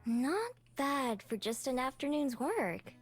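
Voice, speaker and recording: A woman playing a young, scrappy fox girl in a visual novel, grumbly and self-reliant, with expressive delivery. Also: sits high, slow, faint music behind, heavily acted. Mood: matter-of-fact, resigned.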